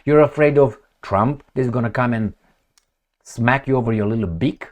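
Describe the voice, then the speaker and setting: A man's voice: medium pitch, moderate pace. A man giving blunt, sarcastic political commentary, firing off rhetorical questions and swearing as he reacts to news reports.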